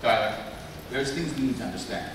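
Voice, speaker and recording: An actor playing an earnest secret agency leader in a formal, emphatic live script reading. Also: male voice, mid-range voice, fairly quick, close to an everyday voice. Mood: earnest, authoritative. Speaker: actor at a live table read. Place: large convention panel hall. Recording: echoey hall, distant mic, noisy.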